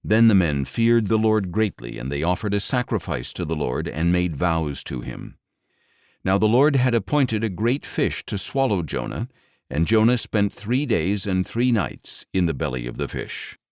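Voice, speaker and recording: A man reading scripture as an audiobook narrator in a measured, solemn, dramatic storytelling style. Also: sits low, big pitch swings, a little mellow, dry recording, studio quality.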